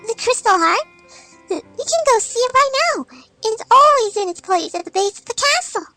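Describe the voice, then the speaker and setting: A woman's voice: extremely high pitch, lively intonation, moderate pace, pushed and bright, faint music behind, full character voice, low-quality recording. A woman voicing a kind, quirky, imaginative little filly with a childlike, playful and earnest manner. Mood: dreamy wonder.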